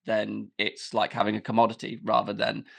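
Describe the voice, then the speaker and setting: A man's voice: lowish voice, monotone, vocal fry, a bit bright. A man, a dance teacher and podcast guest, talking casually with fillers and restarts. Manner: halting, many fillers and restarts.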